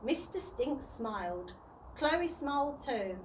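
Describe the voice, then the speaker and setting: A woman reading a children's storybook aloud in a deliberate way, sometimes stumbling and rereading words. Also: sits high, animated, slight background noise, poor audio. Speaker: early years practitioner reading to children.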